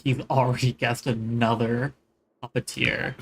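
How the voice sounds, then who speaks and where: A man's voice: mid-range voice, little pitch movement, measured pace, creaky, fairly bright tone, a little hiss or hum. A man streaming a video game, commenting and reacting informally. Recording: music behind the voice.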